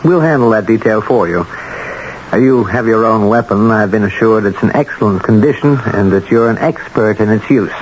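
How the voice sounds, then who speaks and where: An actor playing a polite, businesslike broker of murder contracts in a 1970s radio drama, measured and coolly instructive. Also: male voice, fairly low pitch, lightly acted. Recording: muffled vintage radio with faint noise.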